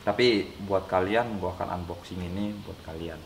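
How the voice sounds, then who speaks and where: A man's voice: low voice, normal speed. A young man chatting casually through an unboxing, his voice nasal and stuffy from a cold, rambling with many fillers. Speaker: unboxing vlogger. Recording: echoey room with clear background noise.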